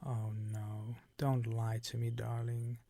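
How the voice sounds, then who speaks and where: Older vampire count played by a male actor for ASMR roleplay: suave, possessive, unhurried, condescending, with breathy menace and a close, dry sound. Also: mid-range voice, flat delivery, warm tone, no room sound. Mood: soothing, possessive. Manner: slow, ornate, condescending seductive purr.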